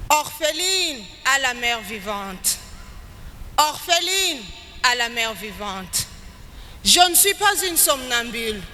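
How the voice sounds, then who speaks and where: A woman's voice: fairly high pitch, big pitch swings, bright, forceful tone. A woman reciting dramatic spoken-word poetry on stage, with an emphatic, declamatory delivery. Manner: slow, emphatic, declamatory delivery with rising and falling phrases. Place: large hall at a live event. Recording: echoey hall with background noise.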